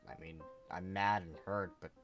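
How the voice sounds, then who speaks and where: A performer puts on a heartbroken teenage boy in a story, low and quiet. He mumbles flatly and sounds sullen and downcast, with music underneath. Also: moderate pace. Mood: hurt, resigned.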